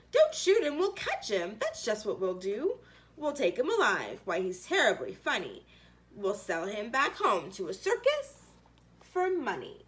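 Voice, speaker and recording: Excitable storybook hunters, played by a woman, exclamatory and emphatic, raised pitch with big swoops. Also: exaggerated voice. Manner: exclamatory, emphatic short bursts.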